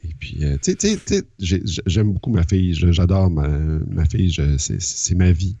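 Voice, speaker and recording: A man's voice: low voice, animated, moderate pace, creaky, mellow, husky, dry recording, dull audio. A man with a Quebec French accent co-hosting a podcast, wry and hesitant with fillers, sick with the flu. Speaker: podcast co-host. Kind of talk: casual podcast chat.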